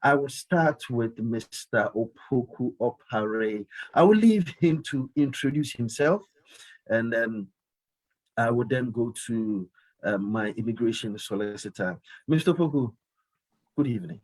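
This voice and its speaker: A man's voice: mid-range voice, expressive, unhurried, darkish tone, low-quality recording. A man hosting a live call-in radio show, talking emphatically and often repeating his phrases. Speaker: radio host.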